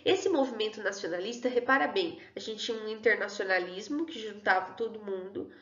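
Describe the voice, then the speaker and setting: A woman's voice: mid-range voice, a little fast, fairly bright tone. A woman teaching an online history class in a chatty way, often checking in with her students. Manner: chatty, keeps checking in with "tudo bem?" and "né, pessoal?". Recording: echoey room, dull lo-fi sound.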